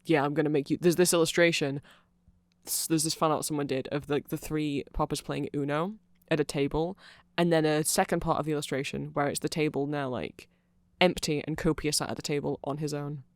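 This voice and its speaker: A man's voice: on the high side, steady tone, a little fast, no echo. A man giving a casual ASMR explainer, rambling along with nerdy enthusiasm. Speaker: ASMR audio creator.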